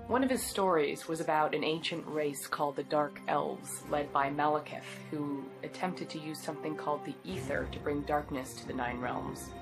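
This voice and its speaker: A skeptical agent narrating game cutscenes in a dry, wary tone of understatement. Her voice sits very low for a woman, with music running loudly behind her.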